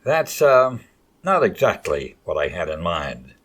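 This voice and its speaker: A man's voice: low voice, very animated, measured pace. A man playing a showy old-time radio announcer, theatrical and emphatic. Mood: wry, theatrical. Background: music.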